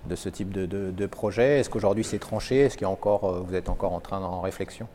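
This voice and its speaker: A man's voice: deep voice, fast talker, dark tone, slight background noise. A man interviewing a business guest, asking his questions hesitantly with fillers.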